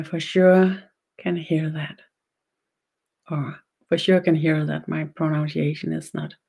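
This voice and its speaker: Woman with Danish accent, low voice, slow halting delivery, webinar host. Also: mellow. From Denmark. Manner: halting, pauses often, repeats words. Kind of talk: slow meditative teaching.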